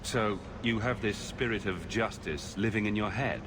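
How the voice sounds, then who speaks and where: Concerned game adventurer, male actor: lowish pitch, measured, probing, noisy backdrop. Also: close to an everyday voice. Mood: questioning. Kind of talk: video game dialogue acting.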